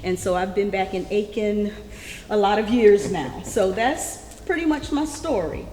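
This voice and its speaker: A woman, telling her life story in a warm, chatty way. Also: medium pitch, unhurried, a little hiss or hum. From South Carolina, US. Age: 50s. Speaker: interviewee. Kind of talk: casual conversation. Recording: echoey room with long reverb.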